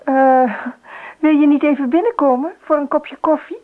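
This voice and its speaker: A woman's voice: sits high, normal speed, close to an everyday voice. An actress playing a dreamy, eerily detached married woman in a radio play, often murmuring to herself. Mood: falsely casual. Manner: murmurs asides to herself, often near whispering. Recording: muffled phone-like old radio recording.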